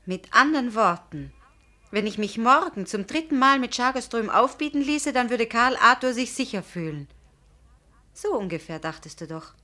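A woman's voice: on the high side, lively intonation, moderate pace, pushed and bright. An actress playing a proud, frank young woman in a radio drama, direct and emphatic, with diction. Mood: indignant. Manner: direct, emphatic, pleading or drily pointed. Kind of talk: German radio drama acting.

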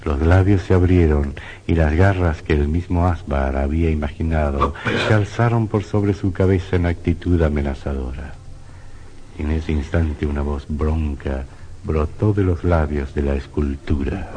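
A man's voice: rumbling low, normal speed, mellow. A man narrating a horror radio play in a suspenseful, sinister, theatrical way. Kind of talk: dramatic scripted horror narration. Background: music.

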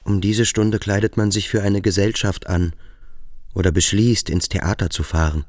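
An actor playing a melancholy, yearning schoolboy thinking aloud in in a radio drama, introspective and literary. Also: male voice, sits low, steady tone, moderate pace, no room sound, clean studio sound, close to an everyday voice. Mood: wistful. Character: melancholy, yearning schoolboy lost in his thoughts. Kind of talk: radio drama acting, interior monologue.

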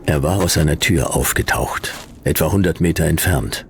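A man playing a laconic private detective in a sci-fi radio play, narrating in clipped, deadpan with a gravelly voice. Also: extremely low pitch, moderate pace, a little hiss or hum. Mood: dry, laconic. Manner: clipped, dry, deadpan delivery. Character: Jonas, laconic hardboiled male private detective narrating his case.

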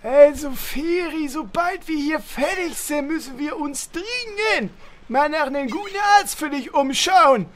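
An actor playing a pompous, bossy guild leader with a squeaky voice in a comedy audio drama. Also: lively intonation, slight background noise. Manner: bossy, deliberate.

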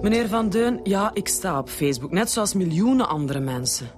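A woman's voice: mid-range voice, expressive, moderate pace, over music, lightly acted. A woman playing a defensive, indignant wife with a Flemish accent in a radio drama. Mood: defensive, exasperated. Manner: indignant, dismissive. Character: defensive, exasperated married woman questioned by police. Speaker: actor in a radio detective serial.

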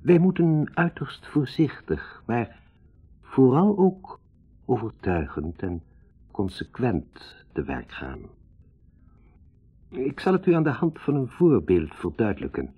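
An actor playing a pompous, moralizing psychologist who lectures in a 1960s radio drama. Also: male voice, medium pitch, wildly swooping pitch, takes it slowly, long pauses, slightly rough, narrow, tinny audio, soft background music, exaggerated voice. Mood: solemn, lecturing.

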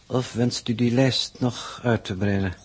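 An actor playing an aloof, sardonic space mission coordinator in a 1960s Dutch radio drama, speaking in a formal, dry, measured way. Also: male voice, mid-range voice, fairly even intonation, breathy edge.

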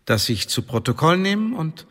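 An actor playing a stern Elizabethan tribunal official in a German radio drama, formal and officious with a needling edge. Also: male voice, fairly high pitch, very animated, moderate pace, a bit bright. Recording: long reverberant hall.

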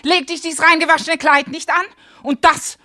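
An actress playing an indignant middle-aged servant's wife in comic classical verse, shrewish and sharp-tongued. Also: female voice, high voice, steady tone, moderate pace. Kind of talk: comic classical verse radio drama acting.

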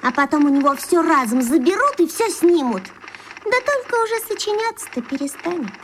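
A woman's voice: very high voice, big pitch swings, slight background noise. A woman playing a bossy, chatty, caring little girl who coaxes and stresses her words in a children's radio play. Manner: brisk and coaxing, stressing words.

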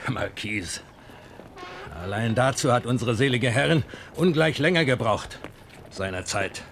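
Disapproving, loyal royal retainer. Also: male voice, medium pitch, unhurried, fairly bright tone, slight background noise. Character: disapproving old retainer mourning his late mistress. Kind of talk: German radio play acting. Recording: 1970s radio drama, faint outdoor ambience.

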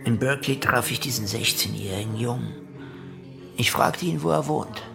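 A self-absorbed ex-convict cult leader in a radio play, looking back on his drifting life. His medium-pitched voice crackles with gravelly fry, and music plays behind him. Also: normal speed, pushed and bright. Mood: weary, reflective. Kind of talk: German radio play monologue.

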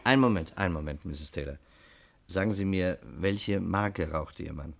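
A courteous investigator in a 1960s radio crime play. His voice is low, and he speaks in a measured, factual way, keeping his manners formal. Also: creaky. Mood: polite, inquiring. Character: courteous, matter-of-fact male investigator. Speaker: radio drama actor. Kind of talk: 1960s German radio crime drama acting.

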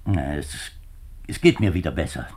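An actor playing an anxious middle-aged government minister, husky and halting, in a 1950s German radio drama. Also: male voice, rumbling low, lively intonation, darkish tone, slight background noise. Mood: agitated, pleading. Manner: halting, agitated, formal.

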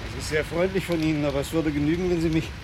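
An actor playing a mild, courteous middle-aged English chemist in a 1970s German radio crime drama, speaking politely and wordily. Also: male voice, a little high, steady tone, rapid-fire, background noise, close to an everyday voice. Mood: polite, wistful. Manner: deferential, polite, wordy.

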